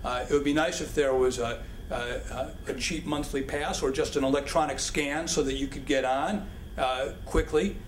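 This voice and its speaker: A man's voice: mid-range voice, steady tone. Hesitant man in an echoey hall. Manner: halting, many restarts and fillers. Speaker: policy speaker answering audience questions. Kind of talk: public Q&A talk. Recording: echoey hall with background noise.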